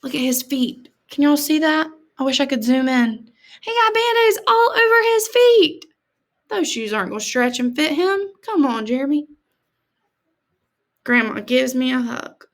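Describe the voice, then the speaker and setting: Female storyteller, American, high-pitched, unhurried and expressive, drawing words out for young listeners. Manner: dramatic emphasis, drawn-out words, informal asides to listeners. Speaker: read-aloud storyteller, teacher-like host. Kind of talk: expressive picture-book read-aloud with chatty asides.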